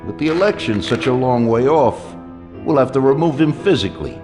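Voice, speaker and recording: A man's voice: medium pitch, lively intonation, brisk, lightly acted. An actor playing a ruthless, commanding male Mafia boss in a video game cutscene. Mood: scornful, scheming. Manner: commanding, calculating. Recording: music behind the dialogue.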